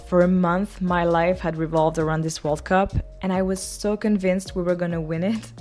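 A woman's voice: fairly low pitch, flat delivery, moderate pace, darkish tone, faint music behind. A woman from France, a football fan, recalling her team's defeat in a wistful, reflective way.

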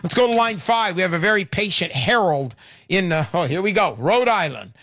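A man in his sixties hosting a call-in radio show, talking in an energetic, emphatic, salesy way. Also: high-pitched, fairly quick, dry recording, studio quality. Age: 60s. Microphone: studio microphone.

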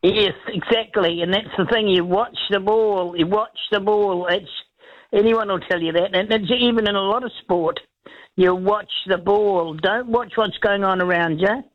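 A woman calling in to a radio show, chatting casually with a croaky voice and many repeated restarts. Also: medium pitch, normal speed. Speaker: caller. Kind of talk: casual radio call-in chat. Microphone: telephone. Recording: dull lo-fi phone line.

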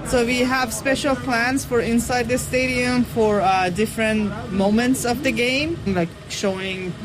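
A woman's voice: a little high, steady tone, unhurried. A woman answering spontaneously in an interview as an organizer, recorded on location. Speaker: protest organizer. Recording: noisy ambience with vehicle sounds.

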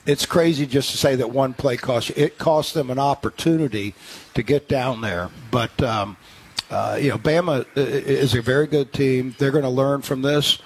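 A man's voice: medium pitch, fairly even intonation, normal speed, slightly rough. A man co-hosting a sports radio show, analyzing football in a rambling, talky way with many restarts. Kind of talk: call-in sports radio chat.

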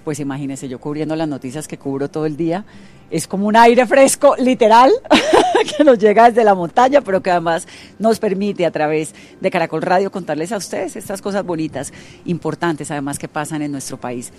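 A Colombian-accented woman with a slightly low voice works as a radio interviewer. She talks in a chatty, informal register and is effusive with her guests, keeping the exchange conversational. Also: lively intonation, fairly quick, a little mellow.

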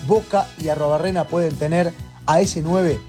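A man's voice: on the high side, steady tone, moderate pace, music in the background. A man with an Argentine accent reading a scripted football news report.

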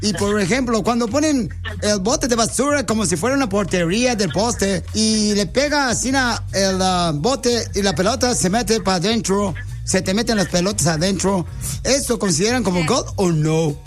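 A man's voice: high voice, lively intonation, moderate pace, soft background music. A man hosting a radio prank call show, with a playful, mock-serious way of asking things.

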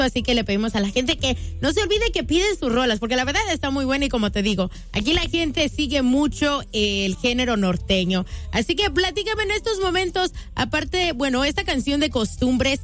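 Bubbly high-voiced woman radio DJ. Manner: bubbly, effusive, upbeat. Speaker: radio DJ host. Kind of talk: enthusiastic radio interview. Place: radio studio.

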